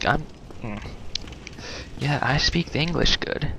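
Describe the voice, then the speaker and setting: A man's voice: a little high, expressive, slow, vocal fry, bright, forceful tone. A man giving casual let's play commentary with wry, self-deprecating muttered asides, recorded on a mic too close to his mouth. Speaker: gaming video host. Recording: noisy close mic with breath sounds.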